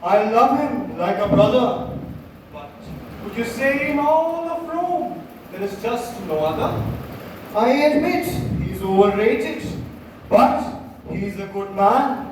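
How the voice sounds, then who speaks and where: Casting: high-voiced player for male Roman conspirator, emphatic stage delivery, recorded from far off with noise. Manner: earnest, emphatic declaiming. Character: Cassius and Brutus, earnest Roman male conspirators. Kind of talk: school stage play declamation. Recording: distant, very echoey hall, clear noise.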